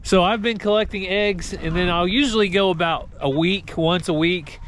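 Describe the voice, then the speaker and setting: A man with a fairly high voice talks casually in a plain, folksy way, as if to family viewers of a farm vlog. The recording is handheld, with some background noise. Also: normal speed, a bit bright. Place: outdoors on a farm.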